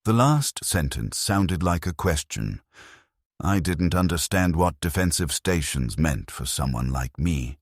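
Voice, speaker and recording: A man's voice: extremely low pitch, very animated, measured pace, husky, no room sound, clean studio sound. A man reading a cinematic first-person story in a grave, solemn manner as a narrator.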